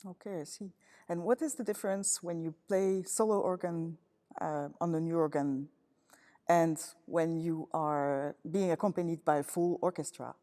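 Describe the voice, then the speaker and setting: Halting woman interviewing in a church. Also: deep voice, fairly even intonation, mellow. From Toronto, Canada. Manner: halting, searching for words with many fillers. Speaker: musicologist interviewer. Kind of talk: interview questions. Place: large church. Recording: long church reverb.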